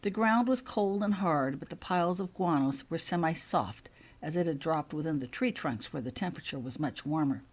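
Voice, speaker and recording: Low-pitched, very animated woman storyteller. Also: normal speed. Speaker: author reading own story. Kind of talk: expressive storybook narration.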